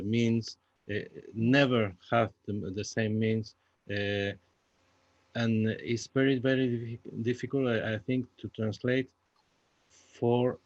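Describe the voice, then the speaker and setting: Halting, low-voiced Spanish man, slow delivery. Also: darkish tone, no room sound. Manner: halting, searching for words in a second language. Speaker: playwright. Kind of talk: unscripted post-show discussion. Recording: dull, muffled lo-fi audio.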